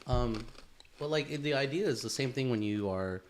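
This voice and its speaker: A man's voice: mid-range voice, expressive, moderate pace. A man in his thirties hosting a podcast in casual chat, easygoing and probing, swearing now and then with lots of fillers. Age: 30s. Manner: easygoing, swears, asks probing questions, many fillers and restarts. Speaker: podcast host.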